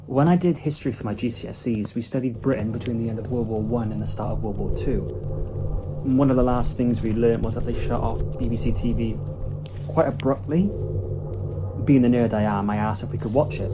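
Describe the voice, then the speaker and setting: A man's voice: medium pitch, natural voice. A man playing an eager, inquisitive young travelling companion in a fan audio drama, talking casually. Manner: casual, conversational. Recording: noisy home recording.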